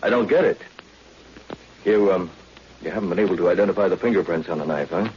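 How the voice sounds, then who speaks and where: An actor playing a self-assured, tough but polite undercover agent in 1940s radio drama style. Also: male voice, sits low, fast talker, close to an everyday voice. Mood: puzzled, urgent. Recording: muffled, hissy old-time radio recording.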